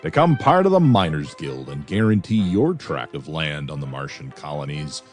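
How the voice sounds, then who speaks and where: A man's voice: a bass voice, very animated, normal speed. A man reading a scripted recruitment advert as a promotional announcer. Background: faint music.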